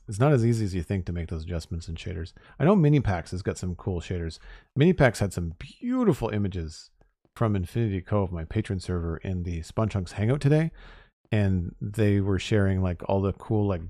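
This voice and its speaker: Male streamer, low voice, slightly hoarse, relaxed chatty delivery, dry close sound. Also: no room sound. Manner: relaxed, chatty, talks to chat. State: losing his voice. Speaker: Minecraft streamer. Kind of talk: casual live-stream chat while building.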